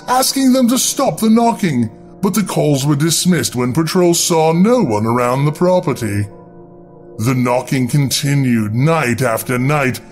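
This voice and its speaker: A man's voice: high-pitched, darkish tone. A man narrating a scripted horror story in a dramatic storytelling style. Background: background music.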